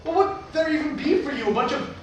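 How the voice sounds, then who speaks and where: A man's voice: very high-pitched, lively intonation, brisk, dull audio. A man acting in a staged play reading as a strict, devout father and brother who is easily roused to anger. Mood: angry. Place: large room at a staged reading. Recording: very echoey distant room recording, faint noise.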